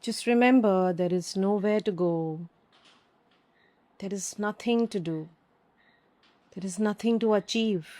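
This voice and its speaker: Woman, yoga nidra teacher, very slow guided meditation, soft mellow tone, long pauses. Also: mid-range voice. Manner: slow, calm, soothing instructions with long pauses.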